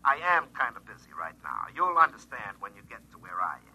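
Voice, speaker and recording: A man's voice: fairly low pitch, big pitch swings, fast talker, bright, forceful tone, breathy edge, full character voice. An actor playing a smug, evasive small-town man, breezy and teasing through a telephone filter in a radio drama. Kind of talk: classic radio drama acting. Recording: muffled, band-limited telephone sound.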